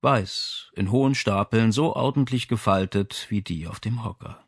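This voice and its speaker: A man's voice: on the low side, moderate pace, creaky, slightly rough, dry recording, clean studio sound. A man reading a crime novel aloud as scripted audiobook narration.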